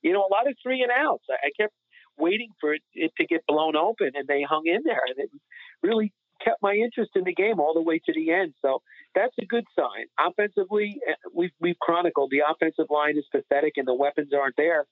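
A man's voice: a little high, lively intonation, quick pace, dry recording. A man co-hosting a sports podcast, talking casually and giving opinions in a conversational way. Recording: thin phone-like audio.

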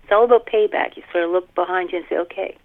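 A woman's voice: lowish voice, quick pace. A middle-aged woman author giving a casual, anecdotal interview over the telephone, with a self-deprecating manner. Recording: tinny narrow phone line.

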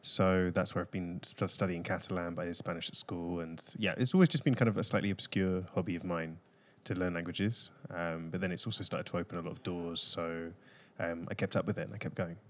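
Deep-voiced London man, thoughtful. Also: fairly even intonation, a little fast. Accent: London English. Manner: thoughtful, with many ums and kind ofs. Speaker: guest. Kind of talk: casual interview conversation.

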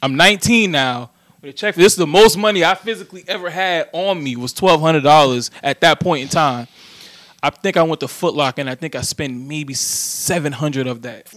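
A man's voice: fairly high pitch, brisk, a bit bright, no room sound, studio quality. A man with an American accent telling things casually in conversation, emphatic and full of slang and swearing. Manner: heavy slang and profanity, emphatic.

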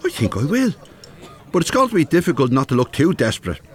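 A man's voice: on the high side, wildly swooping pitch, quick pace. An actor playing a plain-spoken, easily irritated older man with a Dublin accent in a radio drama. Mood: earnest, grumbling. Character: rough-edged, easily irritated older widowed working man.

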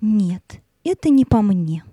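Woman acting mild tree, high, mellow, dry sound, gently scheming, audio fairy tale. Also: sing-song, slow pace, breathy edge, no room sound, full character voice. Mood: reluctant.

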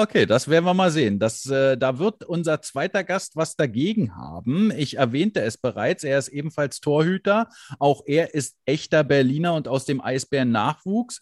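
A man's voice: on the high side, dry recording. Guy hosting, jokey, bouncy up-and-down voice, dry sound. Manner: chatty, joking, frequent laughs and fillers. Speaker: podcast host. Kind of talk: casual interview chat.

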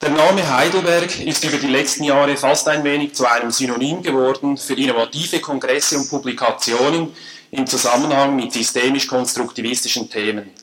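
A man's voice: medium pitch, fairly bright tone, rough recording. Swiss-accented man giving a lecture. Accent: Swiss German. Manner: measured, dryly humorous, formal phrasing. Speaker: conference speaker. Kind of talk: academic lecture. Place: lecture hall.

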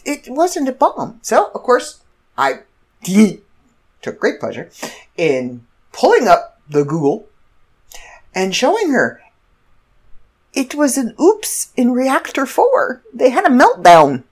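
A woman's voice: on the high side, wildly swooping pitch, slow, pauses often. A congested woman in her fifties, hosting a casual solo podcast and rambling through stories with self-corrections. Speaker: podcast host.

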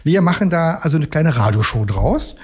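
Husky middle-aged man, slightly high voice. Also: big pitch swings, fairly quick. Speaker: radio magazine studio guest and regular contributor. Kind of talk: casual radio studio conversation. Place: radio studio.

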